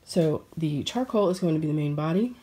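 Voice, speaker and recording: A middle-aged woman chatting casually about her sewing plans, relaxed and pausing with fillers. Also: deep voice. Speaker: sewing vlogger.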